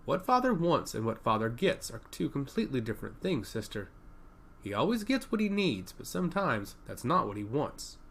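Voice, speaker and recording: A man's voice: medium pitch, sing-song, normal speed, vocal fry, fairly bright tone, husky, heavily acted. A man voicing a confident, frank warrior prince in formal, assured storybook dialogue. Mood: knowing, scoffing.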